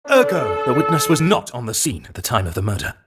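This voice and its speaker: A man's voice: medium pitch, big pitch swings, fast, close to an everyday voice. A man voicing a smug, formal rival prosecutor in a video game dub, precise and pompous. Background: music.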